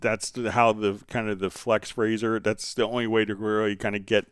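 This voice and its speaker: A man hosting a casual live software tutorial, thinking aloud tentatively as he demonstrates and answers viewer questions. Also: fairly low pitch, level tone, normal speed, clean tone, no echo. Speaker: livestream host and software instructor.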